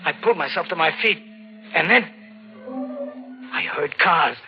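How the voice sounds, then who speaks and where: An American actor playing a desperate hunted drifter turned killer in a 1940s radio thriller, slangy and intense. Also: male voice, a little high, lively intonation, unhurried, vocal fry, soft background music. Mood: frantic. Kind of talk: 1940s radio thriller acting, first-person narration. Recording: dull, very noisy old radio recording.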